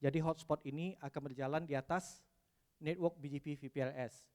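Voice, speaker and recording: A man from West Sumatra giving a technical conference presentation, pausing often with "eh" and dropping in English terms. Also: on the high side, fairly even intonation, a little mellow. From West Sumatra, Indonesia. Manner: hesitant, frequent "eh" fillers, mixes in English terms.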